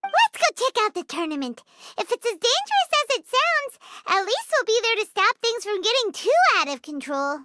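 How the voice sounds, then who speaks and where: An actress playing a small, excitable childlike fairy companion in a video game, chatty and eager, with a squeaky voice. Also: female voice, normal speed, slight background noise, exaggerated voice. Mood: alarmed. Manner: bubbly, refers to herself by name. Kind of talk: animated video game voice acting. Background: music.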